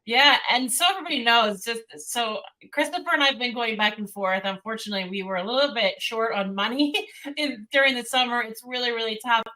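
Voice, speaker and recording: A woman's voice: on the high side, brisk, sharp tone, poor audio. A woman from Pennsylvania hosting a video-call interview for a foundation, chatty and upbeat, laughing easily. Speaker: foundation host. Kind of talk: casual interview.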